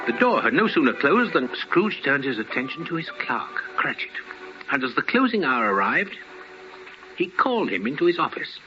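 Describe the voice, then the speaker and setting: A man's voice: medium pitch, very animated, moderate pace, bright, forceful tone. A man narrating a scripted 1950s radio drama as a theatrical storyteller who savors his words. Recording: muffled old radio broadcast. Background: music.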